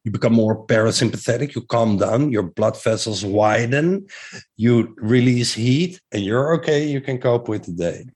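A man's voice: mid-range voice, normal speed, darkish tone, slightly rough. Dutch-accented man, eager and rambling. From Netherlands. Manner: enthusiastic, with frequent restarts and fillers. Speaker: guest, breathwork teacher. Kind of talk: casual interview talk.

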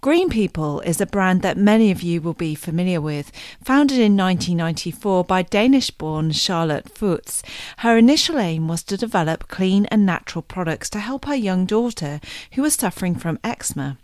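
Female radio host, lowish voice, dry studio sound, measured interviewer. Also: lively intonation, darkish tone, no room sound.

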